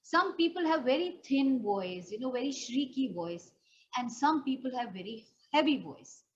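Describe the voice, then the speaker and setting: A woman's voice: high voice, animated, moderate pace. A woman with an Indian accent giving an instructional voice training lesson as a coach, explaining step by step and speaking straight to the learner. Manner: explanatory, demonstrative, addressing the learner directly. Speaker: pageant and personal development trainer. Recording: dull lo-fi audio, faint background noise.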